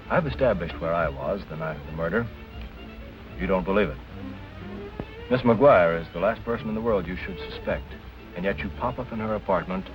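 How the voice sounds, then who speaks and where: A very low-voiced film noir husband, earnest and on the defensive. He speaks in clipped 1940s screen style, with music playing clearly behind him on an old soundtrack. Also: poor audio. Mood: indignant.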